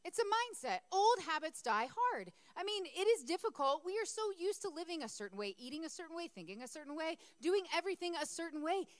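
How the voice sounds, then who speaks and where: A woman preaching with a hoarse, worn voice that sits high and swings widely in pitch. She talks to her congregation in a chatty way and asks them to respond. The sound is close and dry. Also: very animated, brisk, hardly any pauses, no room sound. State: losing her voice. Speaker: pastor. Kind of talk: sermon.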